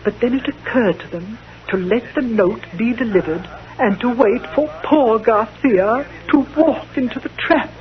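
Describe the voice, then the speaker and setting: An actress playing a grieving, vengeful diplomat's widow in a radio drama, speaking formally and with dramatic passion. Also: female voice, fairly high pitch, a little slow, few pauses, lightly acted. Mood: tense recounting. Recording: dull old radio recording.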